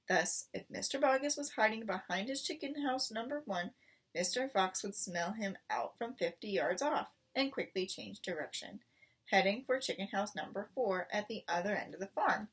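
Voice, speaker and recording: A woman reading a children's story aloud in expressive narration, recorded at some distance from the microphone. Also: medium pitch, husky. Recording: voice distant from the mic.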